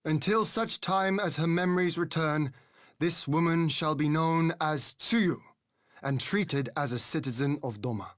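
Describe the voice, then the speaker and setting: A man playing a noble, resolute young lord in a video game, speaking in formal, measured, courtly phrases. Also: a little high, no echo, studio quality, close to an everyday voice. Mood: commanding. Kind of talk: formal dramatic game acting.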